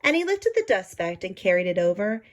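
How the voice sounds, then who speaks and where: A woman with a mid-pitched voice reads aloud as a storyteller for children. She moves between book narration and simple explanatory asides to her young listeners. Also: big pitch swings, a little fast.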